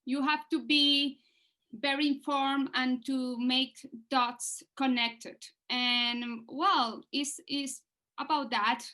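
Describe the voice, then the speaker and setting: Slow, bright-voiced woman panelist. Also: sits high, fairly even intonation. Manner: halting, simple phrasing. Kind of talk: panel talk over video call.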